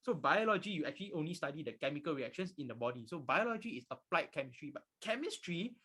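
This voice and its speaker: A man's voice: a little high, big pitch swings, fast talker, bright, forceful tone, slightly rough. A nineteen-year-old man with a Malaysian accent teaching an informal online class, emphatic and repeating his key points. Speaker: physics tutor.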